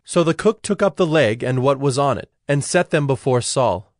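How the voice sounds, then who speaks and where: Mid-pitched male Bible narrator. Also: expressive, moderate pace, dry recording, clean studio sound.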